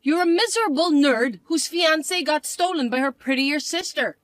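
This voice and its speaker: A woman voicing a spoiled young stepsister who taunts and bosses in a shrill, mocking way. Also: expressive, moderate pace, a bit bright, no room sound. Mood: taunting.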